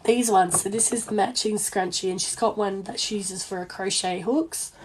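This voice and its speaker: A young Australian woman with a hoarse, failing voice chats casually and self-deprecatingly on a livestream as she works. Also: mid-range voice, steady tone, normal speed. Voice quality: hoarse, weakened voice. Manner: rambling, self-deprecating, informal. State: losing voice after illness. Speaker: small business owner and crafter livestreaming. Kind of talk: casual chatty livestream while sewing. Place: indoor home sewing workspace. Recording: noisy room with clicking sounds.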